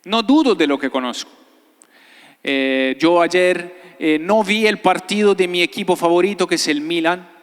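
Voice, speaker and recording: A man's voice: high-pitched, a little slow. A man from Italy preaching to a congregation, conversational, repeating phrases and checking in with rhetorical questions. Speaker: pastor, guest preacher. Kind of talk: sermon to a live congregation. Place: large church hall before a congregation. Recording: reverberant hall, amplified preaching.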